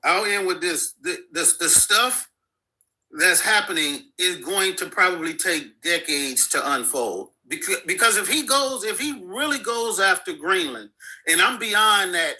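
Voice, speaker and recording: Blunt high-voiced male caller. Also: big pitch swings, pushed and bright, low-quality recording. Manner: halting starts, then blunt slangy argument. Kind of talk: casual call-in opinion.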